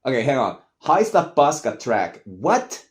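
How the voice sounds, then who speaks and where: A man, speaking in a lively, swooping voice. He talks casually and curses freely, with plenty of self-mockery. The tone stays loose and unscripted. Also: medium pitch, measured pace, a little hiss or hum. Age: adult. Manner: animated, profane, self-mocking. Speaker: host. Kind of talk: casual commentary reacting to old tapes.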